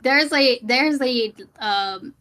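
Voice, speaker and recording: A woman's voice: high voice, slow, fairly bright tone. A woman from Sri Lanka, a streamer, talking over a voice call in a casual debate, hesitant, with many fillers and restarts.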